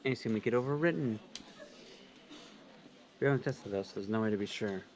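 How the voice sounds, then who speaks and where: A man's voice: on the low side, a little fast, a little mellow, slight background noise. A man co-reading a visual novel on a livestream, moving between read lines and casual commentary. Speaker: co-reader on a game livestream.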